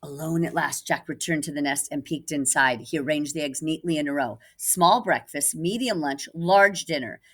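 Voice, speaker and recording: A woman reading a picture book aloud for children in an animated way, with asides to listeners. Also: sits low, moderate pace, few pauses, husky. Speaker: children's storybook reader, teacher. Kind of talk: expressive read-aloud for children.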